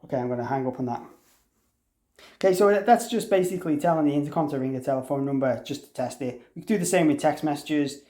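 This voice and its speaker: Higher-voiced man giving an echoey app walkthrough. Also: fast, warm tone. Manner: explanatory, conversational, thinking aloud. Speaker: demonstrator presenting a product tutorial. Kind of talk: informal software walkthrough, unscripted. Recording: roomy, echoey room sound.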